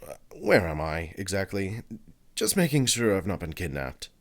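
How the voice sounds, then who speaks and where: A man's voice: low-pitched, very animated, normal speed, exaggerated voice. A man voicing a sarcastic, party-loving demon who grumbles at his friend. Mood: annoyed. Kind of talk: audio roleplay for listener.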